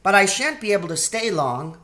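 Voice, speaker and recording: A man reads as an innocent little girl in a children's storybook, lifting his pitch. The girl is polite and a little puzzled, with lively intonation. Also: a little fast. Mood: polite, hesitant.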